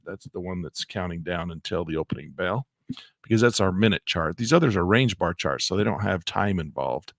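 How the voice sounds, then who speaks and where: A man's voice: sits low, vocal fry, dry recording, clean studio sound. A man hosting a live trading session, rambling and correcting himself as he talks through charts, his voice congested from allergies and a cold. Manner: rambling, self-correcting, excited about the market. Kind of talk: live screen-share trading commentary.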